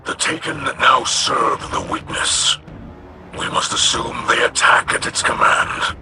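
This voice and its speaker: An actor playing a grave, honorable alien warrior in a video game, speaking formally in a breathy, growling rasp. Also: male voice, mid-range voice, unhurried, noisy background, lightly acted. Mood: solemn warning. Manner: solemn, formal speech without contractions. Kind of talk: scripted video game dialogue. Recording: music behind the voice.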